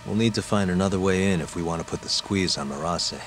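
Very low-voiced cool detective. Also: male voice, fast, fairly bright tone, a little hiss or hum. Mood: dry, determined. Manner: terse, dry, confident. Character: cool, self-assured male detective, dryly determined. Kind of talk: video game cutscene acting.

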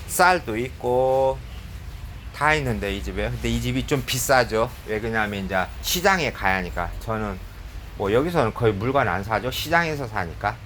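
Vlogger man with a cold, bright, talkative, filling pauses with a stream of "yes", filmed on a handheld phone in a shop with faint store hum. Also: mid-range voice. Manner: chatty, fills pauses with frequent "yes". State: has a cold. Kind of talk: casual running commentary while shopping. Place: convenience store in Laos.

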